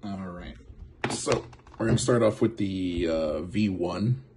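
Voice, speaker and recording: A man giving a casual, unscripted product demo as a reviewer, halting and often repeating or correcting himself. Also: low-pitched. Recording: clearly noisy background with clicks.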